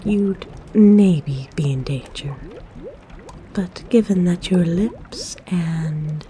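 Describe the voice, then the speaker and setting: A mellow, unhurried forest witch, acted by a woman, speaks softly and intimately, as if to a guest at her side. She is a nurturing, flirty healer, with a low, breathy voice made for ASMR roleplay. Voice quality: soft, breathy, whispery. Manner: gentle, coaxing, intimate. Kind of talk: ASMR roleplay, soft-spoken to the listener.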